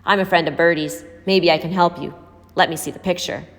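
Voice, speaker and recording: A woman's voice: on the low side, fairly even intonation, a little fast, lightly acted. A woman voicing a wary, streetwise young homeless man in a story, blunt and urgent with warnings. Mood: hushed warning. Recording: hall-like reverb.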